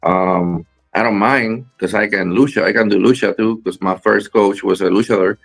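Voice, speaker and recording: A man from Rico, a guest wrestler chatting in an interview, halting with many fillers and restarts. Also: on the low side, steady tone, creaky, fairly bright tone, faint music behind. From Puerto Rico. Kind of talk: casual interview chat.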